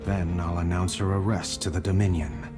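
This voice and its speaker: A man playing a calm, resolute emperor in a video game cutscene, measured, earnest and commanding. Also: deep voice, music in the background, lightly acted.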